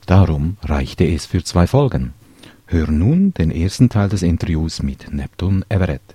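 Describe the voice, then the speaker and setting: Deep, dark-voiced male interviewer. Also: very animated, measured pace, breathy edge, no room sound. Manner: joking, teasing questions. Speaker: podcast host. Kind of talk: hosted interview.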